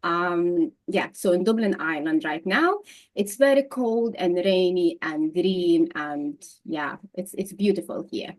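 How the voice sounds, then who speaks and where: A woman's voice: lowish voice, measured pace, a little mellow. A woman with a Lithuanian accent, a nutritional therapist speaking as the guest in a casual interview over a video call, laughing often and repeating words. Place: home in Dublin, video call.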